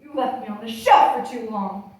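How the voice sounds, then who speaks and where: Echoey, high-pitched woman as a bossy talking Bible. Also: moderate pace, darkish tone, lightly acted. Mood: reproachful. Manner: admonishing, instructive, emphatic. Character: talking dusty Bible, bossy, reproachful teacher. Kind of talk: church puppet show dialogue. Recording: echoey room, distant mic, faint noise.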